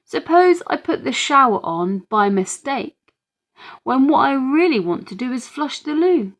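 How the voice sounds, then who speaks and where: A woman acts out a teasing, exasperated mother from a children's storybook. She is emphatic and incredulous, scolding in mock despair. Her delivery is unhurried, with a gravelly edge of fry. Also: sits high, big pitch swings, voice pushed up, exaggerated voice. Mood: amused disbelief. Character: exasperated, teasing mum of a young family.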